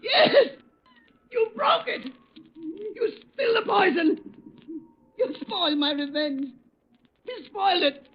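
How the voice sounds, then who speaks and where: An actor playing a crazed, vengeful poisoner in an old radio thriller, taunting and hysterical. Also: male voice, very high-pitched, big pitch swings, slow pace, long pauses, heavily acted. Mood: vengeful fury. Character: crazed vengeful male poisoner, gloating maniac. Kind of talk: 1940s radio thriller acting. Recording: muffled, noisy old radio recording.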